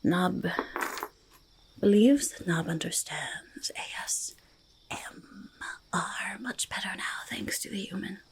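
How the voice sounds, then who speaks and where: A woman voicing a curious, friendly forest goblin in a soft ASMR roleplay, speaking haltingly in breathy whispers and creaky murmurs, repeating words. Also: medium pitch, full character voice. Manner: halting, third-person speech with doubled words. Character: curious, eager, friendly forest goblin who hoards treasure.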